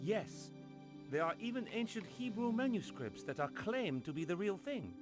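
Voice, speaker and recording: A cautious, scholarly man in an adventure game. He is methodical and measured in wording, with very lively intonation. Faint music sits behind him. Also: high-pitched. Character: scholarly, cautious male friend of the heroine.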